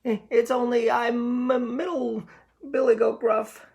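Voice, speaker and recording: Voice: medium pitch, level tone, a little slow, gravelly, a little mellow, voice pushed up. A storyteller voicing a small, timid young billy goat who pleads and stammers in fright. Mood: frightened, stammering.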